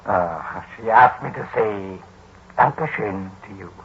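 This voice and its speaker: An actor playing a guarded, stern German immigrant father in a 1940s radio drama, with a German accent and halting, formal phrasing. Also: lowish voice. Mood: grateful, reserved. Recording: muffled, noisy 1940s radio broadcast.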